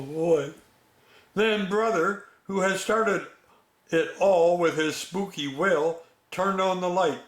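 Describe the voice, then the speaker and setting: A man with a slightly high voice reads a bedtime story aloud. He goes very slowly, with expressive pauses and a chatty manner toward children. The room is noticeably echoey.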